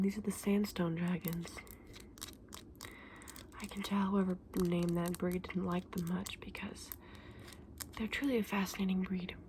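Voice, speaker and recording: A woman voicing a gentle, scholarly dragon egg saleswoman in an ASMR fantasy roleplay, mostly whispering and explaining earnestly with small hesitations. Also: mid-range voice, a little hiss or hum, natural voice.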